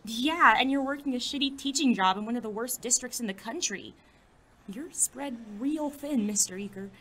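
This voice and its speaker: Woman as sarky teen girl, high bright voice, blunt and disbelieving, audio drama comedy. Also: moderate pace. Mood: scornful. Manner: incredulous, snarky, blunt. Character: sarcastic, blunt teenage high school girl.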